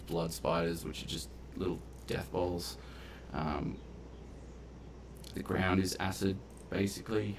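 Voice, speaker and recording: A man giving casual gameplay commentary, muttering asides and exclaiming as he reacts out loud. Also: sits low, gravelly, slight background noise. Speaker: gamer narrating a playthrough.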